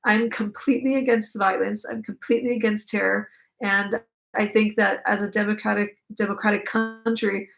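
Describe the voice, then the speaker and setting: A woman's voice: mid-range voice, creaky. A young woman with an Israeli accent, a native Hebrew speaker, hosting a casual live chat and answering viewer questions. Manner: chatty, reads out viewer comments, many fillers.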